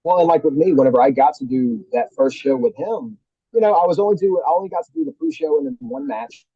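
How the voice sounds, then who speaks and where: A man who works as a wrestling commentator, chatting as a guest in quick, enthusiastic bursts. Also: fairly high pitch, slightly rough, no room sound, dull audio. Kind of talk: casual chat.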